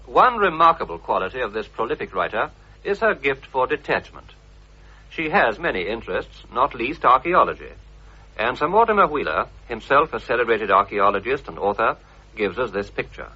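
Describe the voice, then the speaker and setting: A man's voice: medium pitch, big pitch swings, normal speed, pushed and bright, slightly rough. A British man presenting a scripted radio profile in a formal style. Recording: muffled old radio recording.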